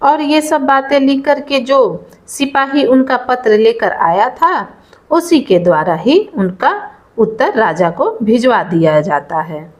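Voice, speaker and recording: Woman, storyteller, high voice, lively swooping intonation, scripted folk tale narration. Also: slow pace.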